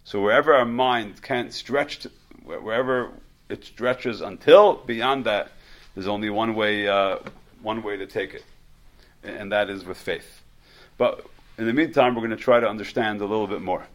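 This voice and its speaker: A man's voice: lowish voice, moderate pace. A man teaching a religious study class in an informal way, often repeating words and restarting his sentences as he explains. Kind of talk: informal religious lecture with questions.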